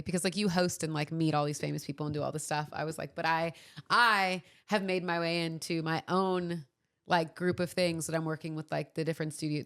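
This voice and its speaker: A woman's voice: deep voice, vocal fry, fairly bright tone. A woman co-hosting a podcast, sniffly from a cold, chatting in a gushing way with lots of fillers. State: sniffly, a bit under the weather. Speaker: podcast co-host. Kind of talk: casual chat.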